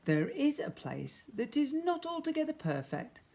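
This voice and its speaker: A man's voice: very high voice, wildly swooping pitch, normal speed, gravelly, a little mellow, voice pushed up, full character voice. A man voicing a mysterious, polite shopkeeper in a children's story, courteous and reassuring. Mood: polite, advising. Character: mysterious, polite male shopkeeper giving calm advice.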